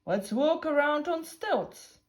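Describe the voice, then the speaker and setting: A woman voicing a clever, calm male elephant in a storybook, thoughtful and reassuring. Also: high-pitched, slow pace, voice pushed up. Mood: bright, eager. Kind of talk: storybook character reading.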